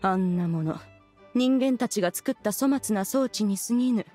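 A woman's voice: mid-range voice, normal speed, mellow, soft background music, close to an everyday voice. A woman voicing a haughty ancient female immortal in a video game, speaking in an archaic, imperious, formal manner.

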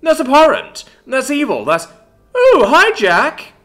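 Fiery young woman, acted by a man, exuberant, righteous, high swooping voice, fantasy audiobook. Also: huge pitch swings, takes it slowly, fairly bright tone, full character voice. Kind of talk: dramatic audiobook character voice.

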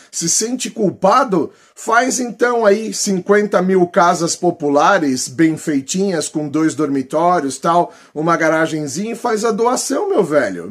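Brazilian male political YouTuber, 40s, higher-pitched husky voice, emphatic and colloquial delivery. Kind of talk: vlog commentary, reading posts aloud. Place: small plain room indoors.

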